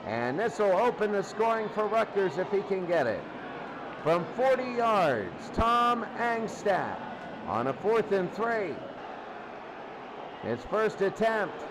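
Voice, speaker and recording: High-voiced male football play-by-play announcer, noisy recording. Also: very animated, slow. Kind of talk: live American football play-by-play commentary.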